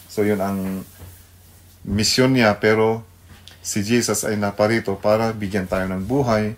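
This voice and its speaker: A male Filipino pastor hosting a devotional radio program, speaking haltingly and searching for words. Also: fairly low pitch, steady tone. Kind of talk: devotional talk mixing Tagalog and English.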